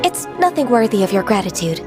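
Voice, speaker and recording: A woman voicing a gentle, polite young secretary in a video game, soft-spoken and formal. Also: mid-range voice, sing-song, fast talker, music in the background, natural voice.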